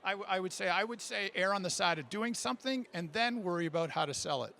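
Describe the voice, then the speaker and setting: A man giving a writing workshop, answering audience questions in an animated, informal way that often stops and restarts. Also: sits high, vocal fry. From Canada. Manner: animated, informal, halting, self-interrupting. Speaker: speaker giving a writing workshop, audio fiction creator. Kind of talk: conference talk question and answer.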